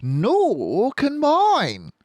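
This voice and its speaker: Man voicing a suave high-pitched storybook fox. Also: wildly swooping pitch, very slow, dry recording, exaggerated voice. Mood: savouring. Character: suave, confident, generous male fox, scheming leader. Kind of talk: children's storybook character voice.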